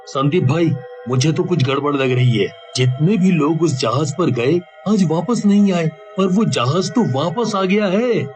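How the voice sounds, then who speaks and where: A man voicing a worried, earnest young village man in an animated cartoon story. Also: on the high side, unhurried, soft background music. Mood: suspicious, worried.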